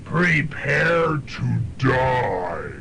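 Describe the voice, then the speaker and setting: A man playing a menacing, murderous demon with a gravelly, creaky voice in a campy radio drama. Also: very animated, bright, forceful tone, heavily acted. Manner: slow, drawn-out threats. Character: menacing male demon from hell, slow and murderous. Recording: noisy low-fi student radio recording.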